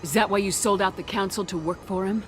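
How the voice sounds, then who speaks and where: A woman's voice: medium pitch, fairly even intonation, fast, lightly acted. A woman playing a bold, sardonic, commanding space-marine commander in a cinematic video game. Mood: cool, resolute. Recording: music behind the voice.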